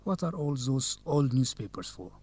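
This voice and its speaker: A confident, teasing young man, played by an actor, a law student in an educational radio drama. His voice is medium in pitch, and he speaks in a courteous, measured way. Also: big pitch swings, warm tone, slight background noise, lightly acted. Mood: friendly, curious. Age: young adult.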